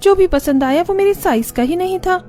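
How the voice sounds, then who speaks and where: A woman's voice: extremely high pitch, animated, a little fast, warm tone. A woman playing a pleading, childlike young wife in a scripted cartoon story, with a whiny manner. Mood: sad. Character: plaintive young overweight wife, childish and food-loving. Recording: music under the voice.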